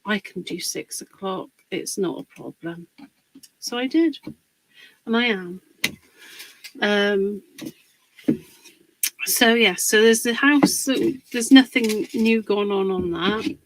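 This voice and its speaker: A woman hosting a casual live craft demonstration, a little husky from a cold, chatting and greeting viewers by name. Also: medium pitch, takes it slowly, pauses often, low-quality recording. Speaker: craft livestream host.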